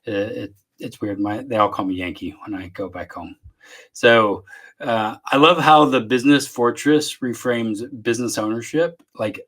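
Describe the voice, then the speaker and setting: Texan guy hosting a podcast from home, kinda low voice, loose and rambly, lots of uh's. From Southeast Texas. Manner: relaxed, rambling, many fillers and restarts. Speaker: podcast host. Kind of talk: casual interview podcast. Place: home office.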